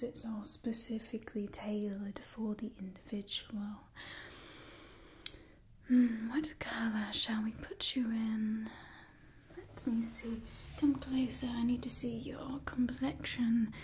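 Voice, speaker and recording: A young woman performing a whispered ASMR roleplay as an imperious, doting old vampire clan overseer, breathy and theatrical. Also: a little high, dark tone, slight background noise. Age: young adult.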